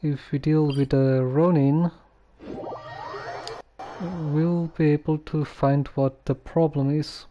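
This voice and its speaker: A man's voice: fairly high pitch, fairly even intonation, slow, long pauses, dull audio. A man streaming a video game, musing casually and thinking aloud in a hesitant way.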